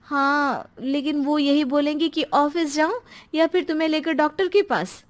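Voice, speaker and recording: A woman's voice: high voice, fairly even intonation, normal speed, lightly acted. A woman playing a timid, long-suffering middle-aged housewife in a dramatised story, meek and hesitant. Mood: worried. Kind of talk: dramatised Hindi moral story.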